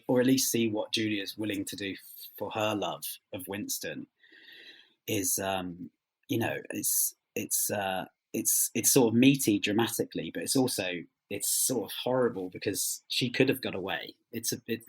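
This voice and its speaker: A man being interviewed as a writer, speaking reflectively and haltingly as he searches for words. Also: on the low side, husky, poor audio.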